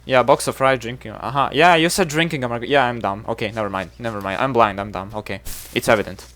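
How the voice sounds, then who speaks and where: A man's voice: mid-range voice, fast talker, a bit bright. A man from Serbia with a Serbian accent, chatting casually to his viewers while streaming a game, rambling and self-deprecating. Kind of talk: casual livestream chatter with chat.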